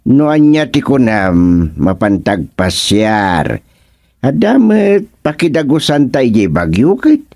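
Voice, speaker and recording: Slow-talking, exclamatory Ilocano village man. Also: mid-range voice, sing-song, vocal fry, darkish tone, no room sound, clean studio sound, exaggerated voice. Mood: chatty, explaining. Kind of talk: Ilocano radio comedy drama acting.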